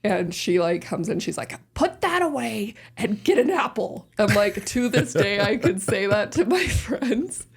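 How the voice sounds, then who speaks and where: A woman in her thirties with a deep voice, chatting casually and rambling a little as a podcast guest. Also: measured pace, gravelly, few pauses, slightly rough. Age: 30s. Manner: relaxed, rambling, many fillers. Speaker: podcast guest, host's sister. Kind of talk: casual family chat.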